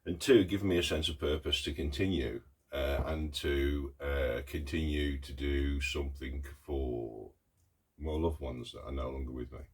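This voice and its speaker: A middle-aged man with a croaky voice from a cough, talking haltingly and earnestly in a casual video diary. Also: extremely low pitch, fairly even intonation, darkish tone. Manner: halting, many ums and pauses, earnest. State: hoarse with a cough. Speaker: artist vlogger. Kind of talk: casual talk to camera.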